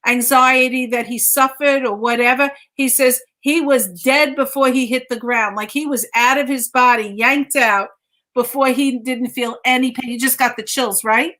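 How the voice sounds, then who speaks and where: A woman's voice: high-pitched, fairly even intonation, moderate pace, bright, forceful tone. A woman giving a conversational live mediumship reading, insistently repeating phrases and relaying messages as quotes.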